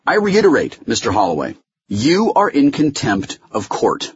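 A man's voice: mid-range voice, huge pitch swings, normal speed, husky, highs cut off, pitched above the performer's own voice, heavily acted. A man voicing a stern, impatient female judge in an audiobook, formal, curt and cutting. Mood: stern, final.

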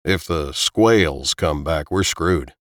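A man voicing a gruff, impatient, commanding man in audiobook dialogue. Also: rumbling low, slow pace, no echo, clean studio sound. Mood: grim, measured. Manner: growling, commanding.

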